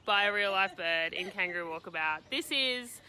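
A woman's voice: medium pitch, lively intonation, moderate pace, sharp tone, a little hiss or hum. A woman casually hosting a live stream from behind the camera, upbeat and chatty as she relays viewer questions. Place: outdoor zoo enclosure. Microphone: close to the handheld phone.

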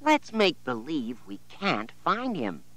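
Cheerful young boy for a storybook, voiced by a man, bright and pitched high. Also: takes it slowly, heavily acted. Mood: eager. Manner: excited.

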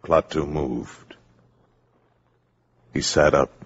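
A man narrating a 1950s radio drama as a grave storyteller, in a portentous, deliberate manner. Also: very deep voice, steady tone, breathy edge, exaggerated voice. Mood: grave, ominous. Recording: muffled old radio, loud music behind.

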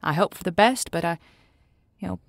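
A woman's voice: on the low side, fairly quick, gravelly, dry recording. A woman playing a sympathetic, folksy advice host on a radio drama, with a reassuring way of talking. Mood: wry, reflective. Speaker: radio call-in show host. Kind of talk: scripted radio advice show.

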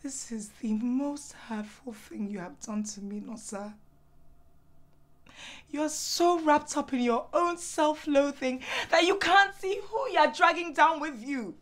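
High-voiced, bright, exasperated wife. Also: female voice, a little slow, few pauses. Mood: hurt, reproachful. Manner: emphatic, pointed, repeats words for force. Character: hurt, exasperated wife confronting her proud husband. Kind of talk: naturalistic screen drama acting.

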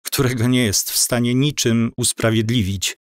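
A man narrating a scripted audio drama in a measured storytelling manner. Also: medium pitch, fairly even intonation, dry recording, studio quality.

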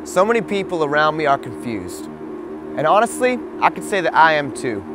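A man's voice: fairly high pitch, big pitch swings, normal speed, low-quality recording. A young man performing a rhyming spoken word poem in an earnest, urgent rhythm, as a voice-over. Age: young adult. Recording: voice-over over loud music.

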